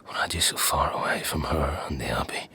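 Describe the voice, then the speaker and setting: An actor playing a bitter, sardonic, wounded man in an audio drama, speaking in a hushed, breathy voice. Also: full character voice. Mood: probing. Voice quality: breathy, whispery, very deep voice. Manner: slow, hushed, probing and sardonic.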